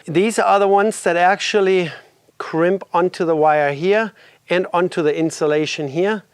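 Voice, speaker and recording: A middle-aged man walks through a repair in his home garage, his voice a little congested from a lingering cold. He speaks a touch higher than most men, in a relaxed, practical way, thinking aloud as he works. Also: a little slow, clean tone, no room sound. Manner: relaxed, explains while working on a motorcycle. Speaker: garage video host. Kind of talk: casual workshop walkthrough.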